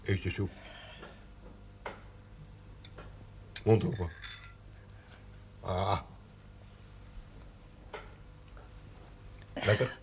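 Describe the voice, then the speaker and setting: An actor playing a fussy, jolly older man with a husky, weathered voice who cares for someone in a television drama. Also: low-pitched, fairly even intonation, slight background noise, heavily acted. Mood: caring, bossy. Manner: slow, theatrical, self-mocking, breaks into song. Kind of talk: television period drama acting.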